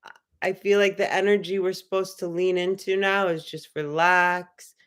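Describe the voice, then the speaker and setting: Smooth-voiced woman musing on a livestream. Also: fairly low pitch, flat delivery, normal speed. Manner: rambling, musing, spiritual talk with filler words. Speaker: livestream host. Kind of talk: casual livestream chat, reading viewer comments.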